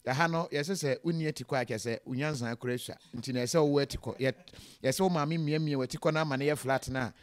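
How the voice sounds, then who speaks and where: A man's voice: mid-range voice, fast, hardly any pauses. A Ghanaian man hosting an interview, chatting casually in a mix of English and Twi and reading out comments from viewers.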